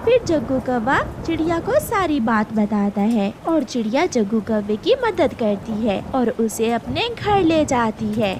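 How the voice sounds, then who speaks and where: A woman storyteller with a high voice narrates a scripted children's tale. Her pitch moves in lively swings, and clear noise and ambience sit behind her. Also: unhurried, few pauses.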